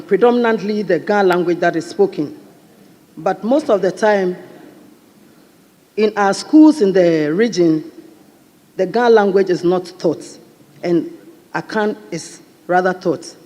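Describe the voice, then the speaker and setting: A Ghanaian man with a high voice addresses parliament in a formal, measured way, pausing on fillers now and then. Long reverb from the chamber hangs on his words. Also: lively intonation, a little mellow. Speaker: member of parliament. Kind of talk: parliamentary floor speech.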